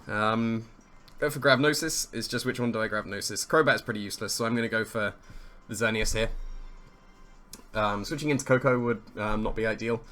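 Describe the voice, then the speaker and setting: Slightly croaky man thinking aloud. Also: fairly low pitch, brisk, fairly bright tone, soft background music. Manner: thinking aloud, weighing options, amused at his own plays. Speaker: competitive player commentating his own match. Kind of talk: casual play-by-play commentary.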